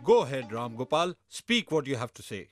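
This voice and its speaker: A man's voice: medium pitch, sing-song, a little fast, dry recording, studio quality, soft background music, close to an everyday voice. An actor playing an authoritative bachelor king in a children's cartoon, commanding and regal.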